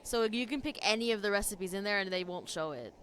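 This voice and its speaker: A woman's voice: medium pitch, lively intonation, fast, a bit bright, a little hiss or hum. A woman giving casual reaction commentary as a game streamer, slangy and full of interjections. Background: game music.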